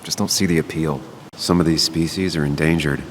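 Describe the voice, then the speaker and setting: A very deep-voiced brooding loner. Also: male voice, steady tone, brisk, slight background noise. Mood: brooding, reflective. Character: brooding, melancholy male former journalist, solitary and cynical. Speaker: game protagonist narrator. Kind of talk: introspective game voice-over monologue. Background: music.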